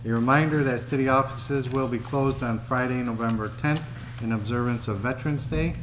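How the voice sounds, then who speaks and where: A man gives the city manager's report, reading notices in a steady, formal voice. His pitch sits in the middle range. Faint noise from the room is heard. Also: a little fast. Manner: steady, formal, reads from notes. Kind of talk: reading prepared announcements. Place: city commission chamber.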